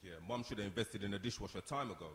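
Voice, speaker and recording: A man's voice: fairly low pitch, racing, a bit bright, slight background noise, close to an everyday voice. A man playing a sullen, jealous young younger brother in a radio drama, snapping and confrontational. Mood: irritated. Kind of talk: radio soap acting before a live audience. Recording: echoey hall, long reverb.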